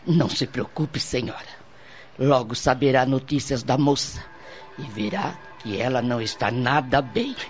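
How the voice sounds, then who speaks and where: An actor voicing an elderly, obliging, sly sorceress with a hoarse voice in a radio soap opera. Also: male voice, mid-range voice, animated, a little slow, natural voice. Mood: assured, persuasive. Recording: dull lo-fi audio with music.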